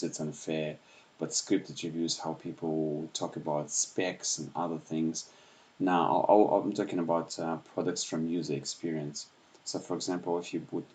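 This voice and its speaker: A man from Ukraine with a Russian accent, speaking softly and unscripted at home in the early morning, with many pauses and fillers. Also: deep voice. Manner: speaks softly with frequent hesitations. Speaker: YouTuber. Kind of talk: unscripted livestream talk.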